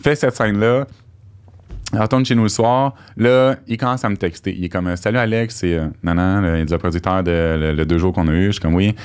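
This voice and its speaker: A man's voice: low voice, fairly quick, clear, resonant tone. A young man with a Québécois accent telling a story as a podcast guest, acting out the lines of the people in it, on a studio microphone. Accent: Québécois French. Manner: animated storyteller, acts out quoted dialogue. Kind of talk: casual storytelling. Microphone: studio mic on boom arm.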